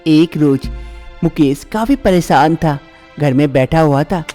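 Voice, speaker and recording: A man's voice: on the high side, a little slow, mellow, faint music behind. A man reading scripted narration as the storyteller of a moral tale.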